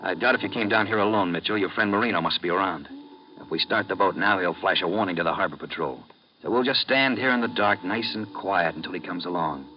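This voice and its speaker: An actor playing a smug, calculating smuggling boss and killer in a 1950s radio drama, speaking smoothly and gloatingly. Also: male voice, low-pitched, fast talker, natural voice. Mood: cool menace. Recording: dull, noisy vintage radio broadcast.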